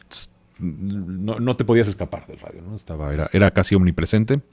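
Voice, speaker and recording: A Mexican man with a low-pitched voice talks as an expert guest on a podcast. He explains casually and hesitantly, often drifting into side remarks in a loose conversational way. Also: brisk, a little mellow. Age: 40s. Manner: rambling, hesitant, with asides.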